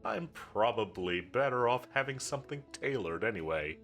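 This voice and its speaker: A man's voice: lowish voice, expressive, normal speed, a bit bright, faint music behind. A man playing a confident, teasing villain commander in a roleplay audio drama, drawling and flirtatious with a bossy edge. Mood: casual, teasing. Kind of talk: scripted roleplay audio drama addressed to the listener.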